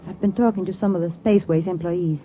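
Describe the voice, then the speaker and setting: An actress playing a capable, composed undercover agent, giving crisp, matter-of-fact reports in a vintage radio space serial. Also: female voice, fairly low pitch, steady tone, fast talker, lightly acted. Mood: businesslike. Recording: muffled, noisy old radio recording.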